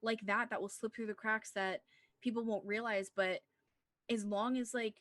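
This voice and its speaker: A woman's voice: on the high side, fairly even intonation, moderate pace, a bit bright, dry recording, clean studio sound. A woman co-hosting a casual movie discussion, talking in an earnest, rambling way with plenty of "like". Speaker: podcast co-host.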